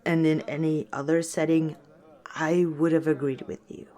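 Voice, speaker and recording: A woman voicing a gentle, wistful female orc tavern owner in a soft-spoken, hesitant ASMR roleplay. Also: sits low, flat delivery, normal speed, lightly acted. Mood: confiding.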